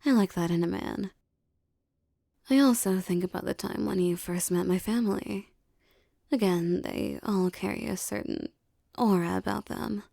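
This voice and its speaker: A woman playing a tough, doting young mafia boss girlfriend in an intimate ASMR romance roleplay, talking softly in tender, teasing street slang. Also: medium pitch, moderate pace, creaky, dry recording, clean studio sound. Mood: fond, confident.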